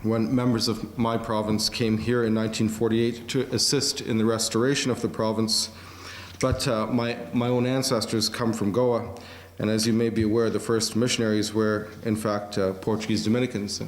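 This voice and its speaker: A man delivering a prepared academic conference lecture, measured, with occasional fillers. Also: fairly low pitch, flat delivery. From Canada. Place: museum auditorium. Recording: echoey museum lecture hall.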